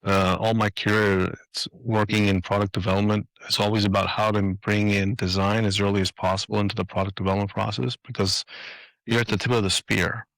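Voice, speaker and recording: A man's voice: fairly low pitch, level tone, a little fast, creaky, fairly bright tone, no room sound, low-quality recording. A man in his fifties from Greece, a design leader answering interview questions in a reflective way with restarts, recorded in an apartment. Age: 50s.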